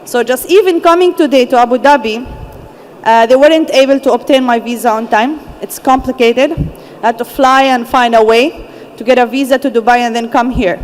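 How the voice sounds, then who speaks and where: A young woman, a founder speaking on a conference panel, earnest and measured, pausing to find her words. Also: a little high. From Gaza, Palestine. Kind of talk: personal story told on a conference panel. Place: large conference hall. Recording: echoey conference hall with faint ambience.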